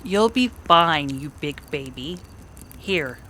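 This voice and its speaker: A woman's voice: deep voice, animated, takes it slowly, bright, forceful tone, a little hiss or hum, exaggerated voice. A woman playing a wry, tough-minded frontier cowgirl in a western radio drama, with a dry, teasing manner. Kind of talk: old-time western radio drama acting.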